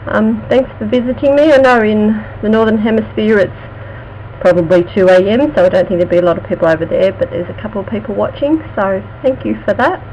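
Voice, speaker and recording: An Australian woman chatting casually on a live stream about her true crime videos, with a halting, stuttering delivery full of fillers and restarts. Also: mid-range voice, muffled recording, a little hiss or hum. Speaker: true crime channel host.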